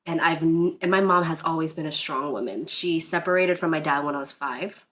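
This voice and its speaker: A woman's voice: low voice, brisk, fairly bright tone, a little hiss or hum. A woman from Northern Virginia, a DJ guest, telling a personal story in a casual interview, earnest and rambling with many fillers and restarts.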